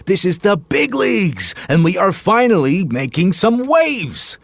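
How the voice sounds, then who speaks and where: Slick, animated Hollywood agent man. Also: sits high, unhurried, dry recording, exaggerated voice. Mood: persuasive, upbeat. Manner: slick, cocky salesman banter. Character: brash, pushy, flattering Hollywood talent agent man. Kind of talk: naturalistic audio drama dialogue.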